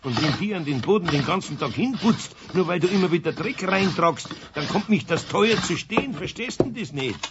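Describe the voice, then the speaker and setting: An actor playing a grumbly but patient, fatherly older man with a Bavarian accent and husky voice in a 1970s children's radio play. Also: high voice, lively intonation, moderate pace, hardly any pauses, noisy background. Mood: scolding. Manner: admonishing, homely. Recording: dull vintage radio play recording.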